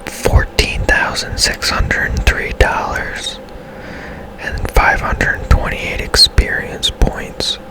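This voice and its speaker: A man whispering casual ASMR commentary as he plays a video game, musing in a hushed, unhurried way. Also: low-quality recording. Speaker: game streamer, ASMR host.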